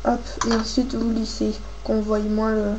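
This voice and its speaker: Woman, craft tutorial host, higher voice, congested with a cold, informal and halting, noisy homemade recording. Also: monotone. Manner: informal, halting, thinking aloud. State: has a cold, coughing. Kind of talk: casual hands-on tutorial, talking while working.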